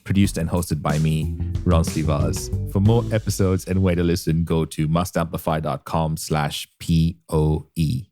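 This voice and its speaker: Deep-voiced man hosting a podcast. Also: steady tone, a little slow, warm tone. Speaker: podcast host. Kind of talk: scripted podcast outro. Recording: music bed behind voice.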